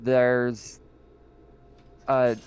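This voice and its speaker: A man runs a tabletop roleplaying session as game master. His voice is smooth and clear at a middle pitch, and he describes gory scenes with plenty of fillers and reads rules aloud. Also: monotone, very slow, darkish tone.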